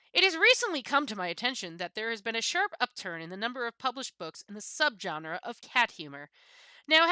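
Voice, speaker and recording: A woman's voice: on the high side, pushed and bright, clean tone, dry recording. A woman reading a book aloud as a haughty, misanthropic pampered cat, pompous and disdainful, with theatrical emphasis.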